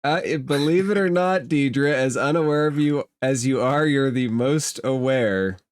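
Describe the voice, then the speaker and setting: Mid-pitched man running a tabletop game. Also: a little slow, dry recording. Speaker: game master. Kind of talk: narrating a tabletop game casually.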